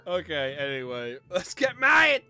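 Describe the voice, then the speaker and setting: Bright, animated game host. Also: medium pitch, measured pace, soft background music. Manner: excitable, exclaiming. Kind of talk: casual game banter with friends.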